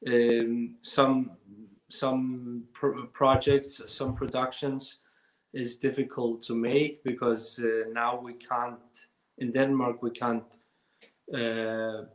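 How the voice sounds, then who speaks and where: A man in his thirties from Iraq, speaking in a halting way with many restarts as a guest filmmaker on a video call. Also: medium pitch, flat delivery, echoey room, poor audio. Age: 30s. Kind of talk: casual interview over video call. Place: home during lockdown.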